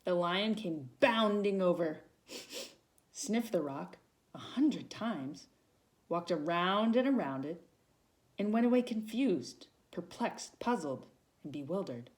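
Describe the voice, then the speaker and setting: A woman reading a children's storybook aloud as a volunteer, with dramatic pauses and emphasis. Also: lowish voice, animated.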